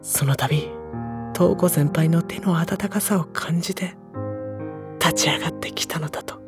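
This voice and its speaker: A woman playing a sensitive, earnest teenage schoolboy in an audio drama, soft-spoken, sincere and introspective. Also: fairly low pitch, a little slow, close to an everyday voice. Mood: tearful reflection. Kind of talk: Japanese audio drama acting and narration. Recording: music behind the voice.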